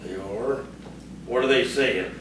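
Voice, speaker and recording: A man acting in audio theatre as a jealous, hot-tempered country bully and scheming ringleader, gruff and blustering. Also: mid-range voice, slow pace, close to an everyday voice. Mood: brooding, suspicious. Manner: gruff, blustering, rustic phrasing. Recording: echoey, distant, noisy stage recording.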